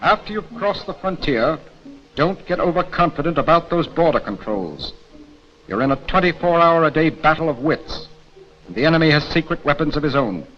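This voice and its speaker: A man's voice: a little high, lively intonation, normal speed, close to an everyday voice. An American man giving scripted, emphatic narration for a 1940s military training film. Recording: dull 1940s film soundtrack, music behind.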